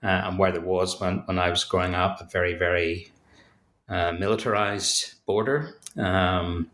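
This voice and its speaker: A man's voice: low-pitched, fairly even intonation, unhurried, a bit bright, roomy sound. A man with a Belfast accent, an author giving thoughtful, hesitant interview answers full of fillers and restarts. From Northern Ireland. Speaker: author and academic guest.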